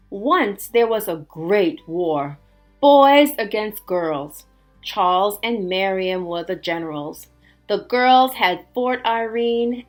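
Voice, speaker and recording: Female teacher for picture-book read-aloud: slow, expressive delivery, mid-range pitch, faint music underneath. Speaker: teacher reading a storybook aloud. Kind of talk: children's read-aloud with chatty asides.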